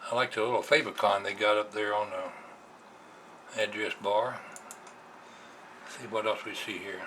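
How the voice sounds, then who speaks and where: A man's voice: lowish voice, steady tone, a little slow. An American man giving a casual, plainspoken explanation as a hobbyist vlogger, recorded indoors on a camera's built-in microphone. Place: indoors, at a computer. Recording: noisy amateur camera recording.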